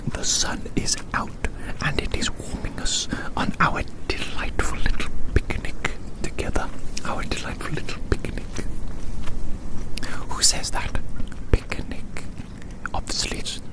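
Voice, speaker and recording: A person in their thirties whispering gently and unhurriedly in an ASMR style, rambling and repeating phrases over and over. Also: measured pace, low-quality recording. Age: 30s. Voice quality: soft unvoiced whisper. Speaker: ASMR host. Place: outdoors.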